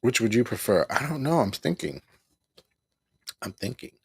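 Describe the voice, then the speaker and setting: A middle-aged man talks loosely on a home livestream, circling back over the same points and chuckling now and then. His low voice is husky and gravelly and swings up and down a lot. The sound is close and dry. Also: animated, moderate pace, darkish tone, no echo. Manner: rambling, repeats himself, chuckles often. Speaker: livestream host. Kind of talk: casual live broadcast chat.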